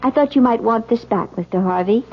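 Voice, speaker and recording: Distraught young bride, high voice, intense suspicion, old-time radio thriller, muffled sound. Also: female voice, a little fast, husky. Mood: cold, bitter. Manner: intense, theatrical delivery. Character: distraught young newlywed wife, suspicious of her husband. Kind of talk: 1970s radio drama acting. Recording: muffled vintage radio recording.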